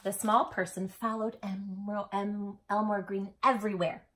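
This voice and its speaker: A woman storytime host reading a picture book aloud to children in an expressive, dramatic way. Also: mid-range voice, unhurried. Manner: animated, dramatic delivery for children.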